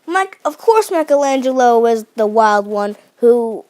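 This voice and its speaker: Young female reviewer, eager and unpolished, slightly high pitch, lots of restarts. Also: lively intonation, measured pace, a little mellow. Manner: enthusiastic, many restarts and fillers. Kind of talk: unscripted video review.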